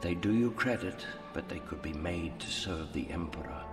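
An actor playing a grave old mentor's spirit in a radio drama, speaking in a measured, formal, admonishing way with ghostly reverb. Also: male voice, low voice. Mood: grave, reflective. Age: older. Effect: long ghostly reverb. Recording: long reverb, music behind.

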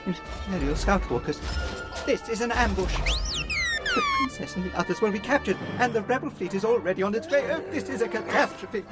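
An actor playing a fussy, anxious, prim humanoid robot in a radio drama, speaking in a formal, fretful manner. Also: male voice, high-pitched, expressive, fairly quick. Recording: loud music and effects behind.

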